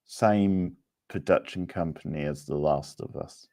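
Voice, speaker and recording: A man hosting a casual live quiz, chatting informally with players and reading out options deliberately. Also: a bass voice, warm tone. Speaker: quiz host.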